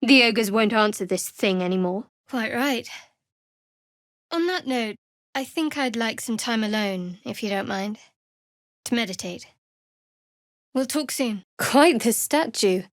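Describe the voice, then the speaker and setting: Guarded young cleric girl for a fantasy game, highish voice, dry and sardonic, super close clean sound. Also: animated, no room sound. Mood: dry, reserved. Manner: measured, dry, wry delivery. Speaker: video game voice actor. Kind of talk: fantasy video game dialogue.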